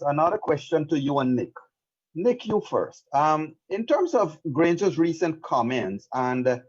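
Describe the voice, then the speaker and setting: A Guyanese man hosts a live panel talk show with a fairly high-pitched voice. He is firm and direct as he steers the discussion. The audio is somewhat dull and lo-fi. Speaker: call-in talk show host.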